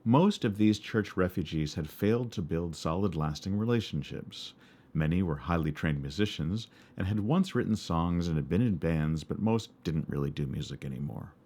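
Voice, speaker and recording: A man with a baritone voice, narrating a memoir for his podcast in a wry, reflective way. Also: darkish tone. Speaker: podcast host.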